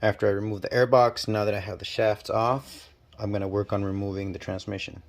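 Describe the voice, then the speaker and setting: A man with a stuffy, nasal cold talking casually and haltingly while working on a car in his garage. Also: on the low side, little pitch movement, creaky. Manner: talks while working, halting and self-deprecating. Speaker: channel host. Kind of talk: casual DIY garage walkthrough. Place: home garage.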